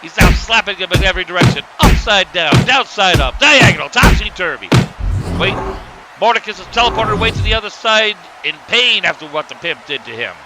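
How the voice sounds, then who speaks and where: A man playing an excitable, colourful announcer who calls a fictional wrestling match play by play. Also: high voice, a little fast. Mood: lively narration. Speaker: wrestling commentator. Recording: music behind the voice.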